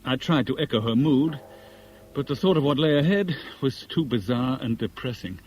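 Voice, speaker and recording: Brooding reluctant hero for vintage radio thriller man acting: weary, dry, expressive mid-range voice, slight tape hiss. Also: mid-range voice, moderate pace, natural voice, poor audio. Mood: rueful narration. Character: brooding, reluctant middle-class man caught in intrigue. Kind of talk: 1970s radio drama acting, with first-person narration. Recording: hissy 1970s radio broadcast recording.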